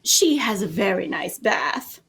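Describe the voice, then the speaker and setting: Woman playing a gushing, husky young cat lady. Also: mid-range voice, sing-song, measured pace, fairly bright tone, exaggerated voice. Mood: chatty, nosy. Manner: gushing, rambling, theatrical. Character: chatty, flustered, prim young lady who is a cat.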